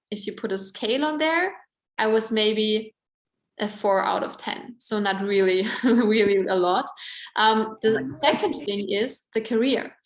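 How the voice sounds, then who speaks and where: A woman's voice: mid-range voice, echoey room. A woman in her twenties with an Austrian German accent, presenting at an online event in a chatty way, full of "like" and "um". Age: 20s. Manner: chatty, many "like" and "um" fillers.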